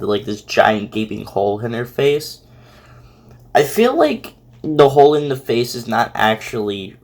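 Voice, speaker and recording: A casual reaction commentator with a mid-range speaking voice. The delivery rambles and hesitates, working thoughts out aloud in slangy, everyday wording. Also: mid-range voice.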